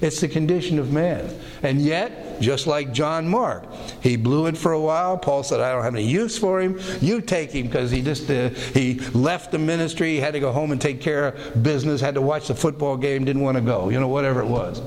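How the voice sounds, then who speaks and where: An older man with a raspy voice, preaching a folksy, conversational sermon to his congregation, often acting out the voices of people he quotes. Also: medium pitch, fairly quick, a little mellow. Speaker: pastor. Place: church sanctuary. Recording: reverberant hall.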